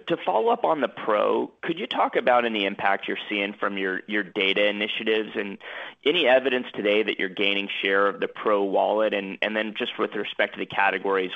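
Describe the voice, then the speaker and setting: A man's voice: lowish voice, creaky. A man calling in as an analyst, asking curious, direct questions on an earnings call. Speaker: analyst caller. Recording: muffled phone line.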